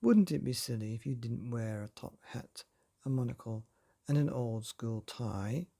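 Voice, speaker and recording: A man's voice: mid-range voice, animated, measured pace, vocal fry, dark tone, pitched below the performer's own voice. A man voicing a cheerful, silly storybook character who asks absurd questions in a playful, mock-earnest way. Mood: playful, teasing.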